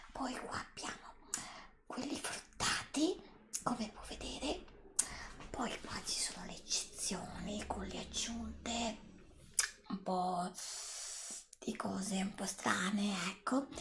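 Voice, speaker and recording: A middle-aged woman in a whispered ASMR roleplay, speaking as a polite, attentive bar server who softly repeats herself. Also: fairly high pitch, creaky. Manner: polite, attentive shop-server patter, soothing repetition. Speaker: ASMR performer playing a bubble tea bar server.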